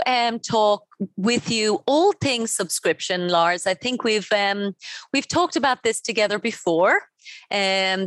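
A woman's voice: mid-range voice, a bit bright, no room sound. Enthusiastic woman podcast host, dry sound. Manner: polished, enthusiastic delivery. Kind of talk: scripted podcast intro and interview.

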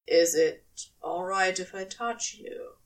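A woman's voice: on the low side, slow, recorded from a distance. A woman voicing a laid-back, tender male supernatural being in an audio story. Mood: tender, reassuring.

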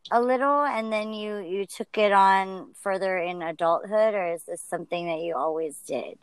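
A woman's voice: mid-range voice, normal speed, smooth, dry recording. A woman hosting an interview, asking thoughtful, searching questions. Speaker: podcast host.

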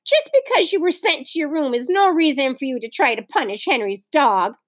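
A woman reading aloud, voicing an amused, exasperated mother who gently scolds her children. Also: high-pitched, expressive, fairly quick, no echo, studio quality. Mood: fond.

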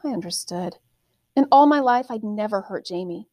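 A woman narrating a first-person story expressively, with deliberate, dramatic phrasing. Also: mid-range voice, animated, warm tone, no echo, studio quality. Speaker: audiobook reader, teacher reading aloud.

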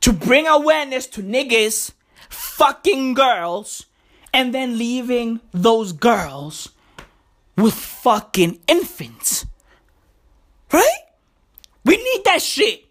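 A young woman who hosts a podcast rants in profane, slang-heavy bursts. Her voice is going hoarse. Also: a little high, very animated, very slow, pauses often. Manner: profane, slangy, emphatic ranting. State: losing her voice. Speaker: podcast host. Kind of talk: comedic podcast rant.

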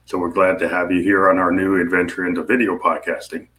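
Bright-voiced, low-pitched American man hosting. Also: little pitch movement, fast. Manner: loose, rambling explanations with frequent self-corrections. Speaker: podcast host. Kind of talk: casual live interview. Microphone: video podcast mic.

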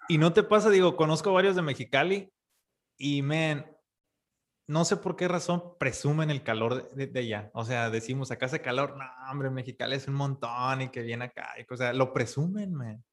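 A man hosting a podcast, friendly and quick to laugh in casual chat. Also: medium pitch. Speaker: podcast host.

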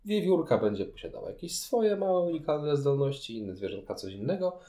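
A man co-hosting a casual board game podcast, talking in an analytical, measured way with wordy phrasing. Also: on the high side, very animated, dark tone. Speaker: podcast co-host. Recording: roomy, echoing room sound.

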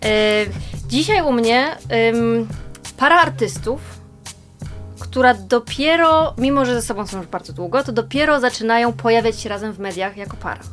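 A woman's voice: a little high, animated, normal speed, a bit bright, faint music behind. A woman hosting an interview, asking long, rambling questions with fillers. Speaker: interviewer, host.

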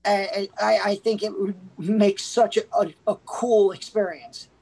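A woman's voice: medium pitch, steady tone, a little slow, gravelly, dark tone, slightly rough. A woman co-hosting a casual movie review, talking hesitantly with many restarts. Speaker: podcast co-host.

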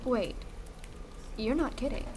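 A wry broadcaster colleague. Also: female voice, fairly high pitch, background noise. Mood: teasing. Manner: teasing, quick. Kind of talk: audio drama acting.

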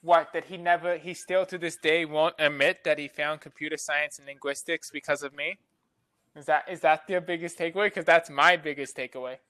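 A man's voice: on the high side, fairly even intonation, a little fast, sharp tone, dry recording. A man co-hosting a casual chat who teases his friend in a joking way. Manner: teasing, joking.

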